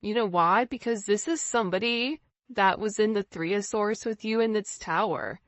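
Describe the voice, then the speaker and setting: A woman's voice: mid-range voice, normal speed, dry recording. Woman tarot reader, dull dry recording. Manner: emphatic, conversational, direct address with rhetorical questions. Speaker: tarot reader, channel host. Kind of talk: informal intuitive tarot reading addressed to viewers.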